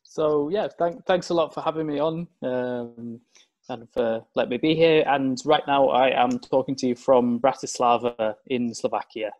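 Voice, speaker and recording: A man's voice: medium pitch, steady tone, moderate pace, a little mellow, no room sound, highs cut off. A young man from Cumbria in England, chatting as a guest in an interview, hesitant and full of fillers and restarts. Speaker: guest, open-water swimmer. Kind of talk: casual interview chat.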